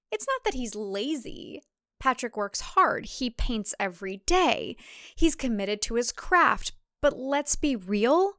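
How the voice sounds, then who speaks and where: Woman acting city career woman, wry, conflicted, candid, highish clear voice, dry close sound, audiobook dialogue. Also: fairly bright tone, no room sound, heavily acted. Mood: doubtful. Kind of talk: comic thriller audiobook character voice.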